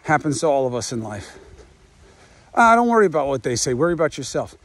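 A man's voice: medium pitch, very animated, fast talker, a bit bright, dry recording. A man in his fifties hosting a podcast, talking through a reflective monologue outdoors on a walk, musing and asking rhetorical questions. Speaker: podcast host. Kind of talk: reflective monologue while walking.

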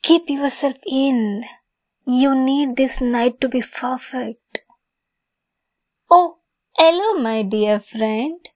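A woman's voice: high-pitched, drawn-out words, long pauses, exaggerated voice. A woman playing a lovesick, possessive young kitsune fox girl in an ASMR roleplay, sweet, coy and giggly in manner. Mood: coy, nervous.

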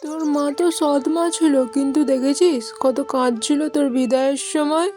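A woman's voice: high-pitched, moderate pace, music in the background, lightly acted. A woman voicing a blunt, prying mother-in-law in a cartoon moral story.

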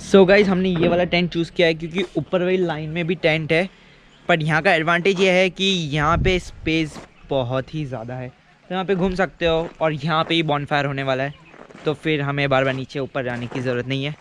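A man's voice: a little high, steady tone, a little fast, slight background noise. Chatty young man vlogging outdoors. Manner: chatty and enthusiastic, addresses viewers as guys. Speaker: travel vlogger. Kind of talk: casual travel vlog narration. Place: outdoors at a hillside campsite. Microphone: handheld camera at arm's length.

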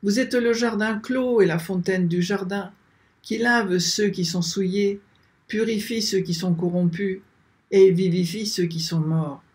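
An older man leading a slow prayer, reciting repeated invocations in a steady, measured chant, filmed by a webcam in a small room. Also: high voice. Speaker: prayer leader.

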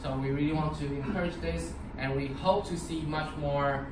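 A man's voice: on the high side, steady tone, normal speed. Young guy from taiwan teaching a dance class, upbeat and chatty, roomy studio, mic far off, noisy. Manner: chatty, upbeat, with fillers and restarts. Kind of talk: casual dance class instruction. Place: large empty dance studio. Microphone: far camera.

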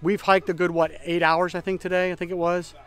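A middle-aged man talks in a relaxed, rambling way while out on the trail, filling gaps with little fillers as he goes. His voice sits fairly high for a man. He is recorded outdoors in a forest on a close camera, with faint music behind him. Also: fairly quick, clear, resonant tone. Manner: relaxed, chatty, thinking aloud with fillers. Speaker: backpacking vlogger. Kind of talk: casual trail vlog narration. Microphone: camera at close range.

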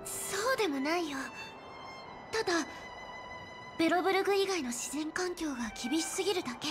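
Calm young explorer girl Lynx, high-pitched. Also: normal speed, music in the background. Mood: calm, explanatory. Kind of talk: anime game voice acting.

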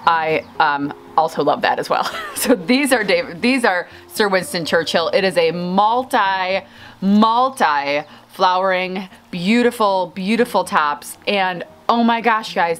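Expressive, gushing woman chatting on a porch. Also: lowish voice. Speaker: flower farmer and vlog host. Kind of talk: casual chatty vlog to camera. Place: outdoors on a covered house porch.

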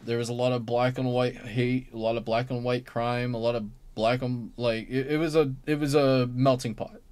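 Male podcast co-host for casual panel talk, hesitant and discursive, average pitch, very little room sound. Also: little pitch movement, moderate pace, a little mellow, clean tone, no echo. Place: online group voice call.

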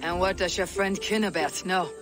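Man playing a stern high-pitched Viking warrior. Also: fairly even intonation, normal speed, music in the background, close to an everyday voice. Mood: dry. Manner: terse, commanding, challenging. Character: blunt, stern, proud male Viking warrior. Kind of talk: video game cutscene acting.

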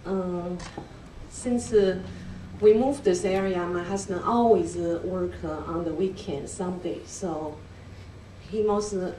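A woman's voice: fairly low pitch, steady tone, slow pace, warm tone, slight background noise. Nervous Chinese-accented woman in echoey hall. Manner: hesitant, many fillers and restarts, nervous laughs. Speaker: church member giving a testimony. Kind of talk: halting personal testimony. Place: large church hall indoors. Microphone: handheld mic, picked up from a distance. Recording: echoey hall recorded from far off.

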